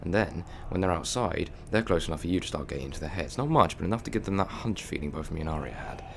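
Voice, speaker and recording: A man playing a quick-witted, defiant human time traveller in a sci-fi audio drama, sardonic and chatty as he thinks aloud. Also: deep voice, animated, vocal fry, noisy background. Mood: working it out. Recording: music behind the voice.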